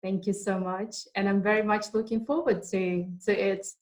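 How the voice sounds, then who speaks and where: A woman from Kazakhstan, a mother, talking about herself in a casual interview, halting often with fillers. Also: mid-range voice, fairly even intonation, darkish tone. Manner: halting, with many fillers and restarts. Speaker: interviewee, mother and online entrepreneur. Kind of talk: casual video chat interview.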